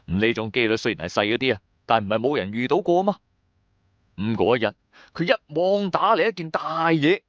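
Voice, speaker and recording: A man's voice: medium pitch, dry recording, muffled recording. A man with a Cantonese accent narrating a dramatised first-person story in a theatrical, colloquial way. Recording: background music under the voice.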